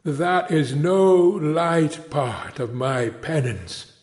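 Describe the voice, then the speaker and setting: Give me a man doing a grieving ghost in chains for a read-aloud storybook, solemn and declaiming, lifting to a higher voice. He drags out every word slowly. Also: animated, darkish tone. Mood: mournful warning. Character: anguished chained ghost of an old businessman.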